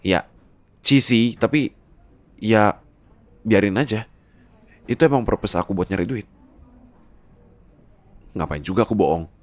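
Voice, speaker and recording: A man playing a bossy, rich young boyfriend who is gruff yet doting and protective, in an ASMR roleplay. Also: lowish voice, expressive, a little slow, pauses often, voice pushed up. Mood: tender scolding. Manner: scolding, insistent, code-switching with English words.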